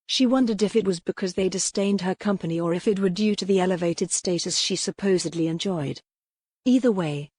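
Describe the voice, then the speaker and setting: A woman's voice: on the low side, darkish tone, no room sound. A woman narrating a scripted historical romance audiobook.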